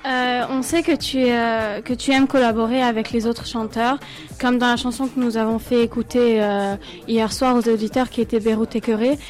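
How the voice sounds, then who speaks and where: Halting woman radio presenter. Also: a little high, level tone, a little mellow. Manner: halting, many fillers. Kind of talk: hesitant radio interviewing.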